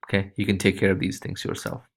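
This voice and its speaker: A man presenting a casual software walkthrough, talking informally and loosely with frequent short check-in tags. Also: deep voice, completely flat delivery, quick pace.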